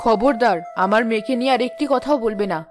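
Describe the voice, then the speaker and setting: A woman's voice: fairly high pitch, a little fast, lightly acted. A woman playing a cruel, scheming aunt, scolding and sarcastic, in a cartoon melodrama. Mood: angry, aggrieved. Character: shrewish, scheming, cruel aunt bullying her orphaned niece. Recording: music behind the voice. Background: background music.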